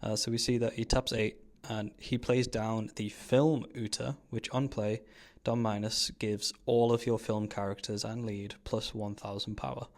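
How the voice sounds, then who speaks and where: Man, fairly low voice, casual gameplay commentator. Informal, slangy, explains each play as a fellow player. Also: flat delivery, moderate pace, few pauses. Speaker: card game player commentating his own match.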